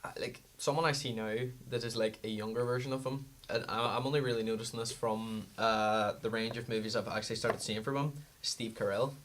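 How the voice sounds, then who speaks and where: A man's voice: lowish voice, fairly even intonation, brisk, hardly any pauses, a bit bright. A man chatting casually as co-host of a film podcast.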